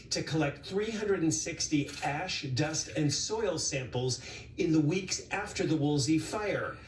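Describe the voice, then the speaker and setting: Man, investigative news reporter, fairly high voice, deliberate emphatic scripted narration, distant echoey recording. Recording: roomy, distant sound with faint noise.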